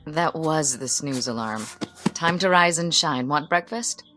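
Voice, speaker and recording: An actress playing a breezy, casual wife in a radio drama. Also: female voice, sits low, measured pace. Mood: cheerful, coaxing. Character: breezy, dismissive wife humoring her agitated husband. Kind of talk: modern radio drama acting. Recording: faint music behind the voice.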